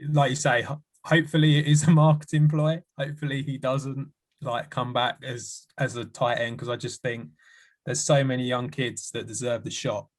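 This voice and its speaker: A man co-hosting a podcast, chatting casually in a measured, slightly halting way. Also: mid-range voice, flat delivery. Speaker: podcast co-host.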